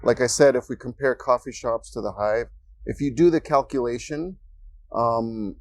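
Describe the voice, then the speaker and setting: A man from Ecuador speaking as a guest in a casual interview in a coworking space, relaxed and joking as he tells stories. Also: mid-range voice, no room sound. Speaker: guest, freelancer.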